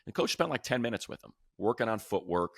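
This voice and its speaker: A man's voice: on the low side, very animated, fast, creaky, no echo, clean studio sound. A man hosting a sports show, talking casually and conversationally and often acting out what other people said. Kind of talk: casual sports talk and commentary.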